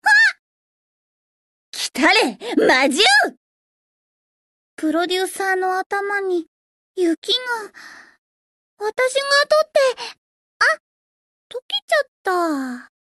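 A woman voicing a teenage gothic idol girl in a Japanese game, grandiose and sweetly shy, switching between pompous declarations and soft plain talk. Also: very high voice, very animated, slow pace, long pauses, no room sound, heavily acted. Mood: excited, sweet.

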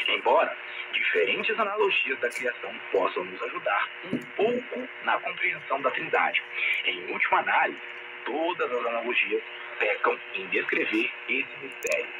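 Animated man on crackly, muffled shortwave radio. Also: mid-range voice. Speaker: religious broadcaster. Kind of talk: scripted religious teaching. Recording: noisy, band-limited shortwave radio reception.

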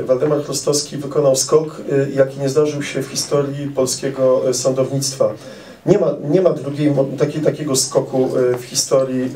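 A middle-aged man speaks carefully into a handheld microphone, pausing often on fillers as he answers questions at an author event. His voice is mellow and mid-pitched. The sound is roomy, picked up from a distance with a faint hum behind it. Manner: measured, many "e" fillers, precise. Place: indoor event hall with audience. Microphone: handheld mic. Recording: roomy hall sound, camera some distance off.

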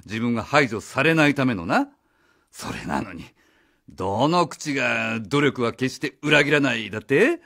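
A man playing a blunt, accusing outsider in a radio drama, confrontational and rough in his speech. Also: mid-range voice, expressive, normal speed, sharp tone. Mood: sarcastic, accusing. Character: blunt, accusing male outsider challenging authority. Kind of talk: Japanese radio drama acting. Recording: faint music behind the voice.

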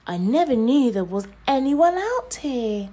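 Woman voicing a high, eager little storybook creature. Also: big pitch swings, measured pace, dark tone, full character voice. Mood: delighted. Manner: pleading, exclaiming in a lifted voice. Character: small pleading storybook creatures, young, sweet, eager.

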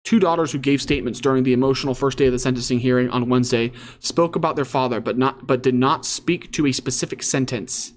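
A man's voice: medium pitch, quick pace. A man hosting online commentary, reading news aloud and reacting casually.

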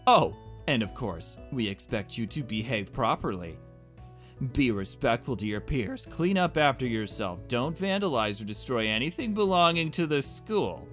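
A man's voice: mid-range voice, big pitch swings, moderate pace, a bit bright, soft background music. A man voicing a polite, chirpy creature headmistress with a prim, formal manner in a visual novel game.

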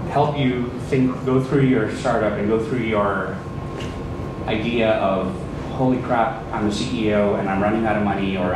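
A man gives an informal presentation at a meetup, his medium-pitched voice heard from a distance in a very echoey room. A clear rumble of ventilation runs underneath. He is candid and self-deprecating, often restarting his phrases. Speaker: tech company CEO giving a talk. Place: meeting room with a projector screen, indoors. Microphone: handheld microphone, recorded by a far camera.

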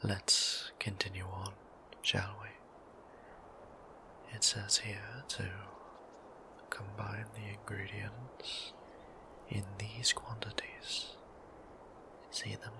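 A man voicing a gentle, formal male vampire priest in an ASMR roleplay, whispering with courtly, old-fashioned politeness. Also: on the low side, flat delivery, extremely slow, pauses often, noisy background. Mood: tender.

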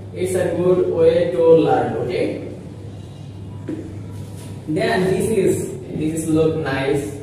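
A young man teaching a beginner English grammar lesson at a whiteboard, explaining slowly with many fillers and repetitions. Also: a little high, mellow, a little hiss or hum. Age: young adult. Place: small indoor room with whiteboard. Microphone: camera mic from a distance. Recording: echoey room, far from mic.

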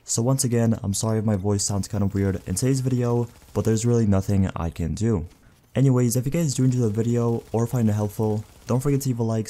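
A man with a stuffed, nasal voice giving relaxed, casual commentary over game footage. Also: lowish voice. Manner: relaxed, talks through recommendations with many fillers. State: stuffed nose. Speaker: gaming YouTuber.

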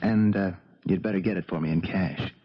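A man's voice: low-pitched, fairly quick, breathy edge. An actor in a 1970s radio drama playing a scheming, sullen beach boy, cocky and resentful, with a slangy, insolent drawl. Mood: sly, plotting. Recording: muffled 1970s radio recording.

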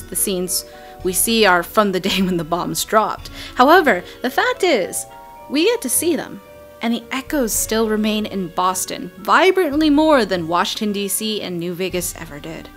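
A woman hosting a game video, giving an excited, chatty, emphatic voice-over breakdown. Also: mid-range voice. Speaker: video game channel host. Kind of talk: game trailer breakdown voice-over, semi-scripted. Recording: voice-over with background music. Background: music.

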